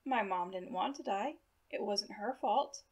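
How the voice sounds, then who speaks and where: A woman's voice: medium pitch, a little slow, a little mellow, husky, muffled recording. A woman voicing a shy, troubled schoolboy who answers briefly and earnestly in a storybook reading. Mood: defensive.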